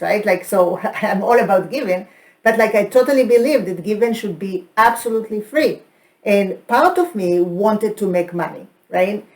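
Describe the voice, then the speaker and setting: A woman's voice: mid-range voice, darkish tone. A woman with an Israeli accent co-presenting a training session, who laughs often and keeps checking in with "right?". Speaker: co-host and trainer. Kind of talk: presentation chat.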